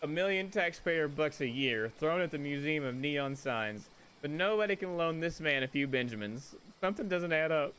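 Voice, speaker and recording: Guy doing sarcastic young woman narrating a visual novel game, kinda high and animated, bit noisy. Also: normal speed. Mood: exasperated. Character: Ashley, chatty sarcastic young woman narrating her story.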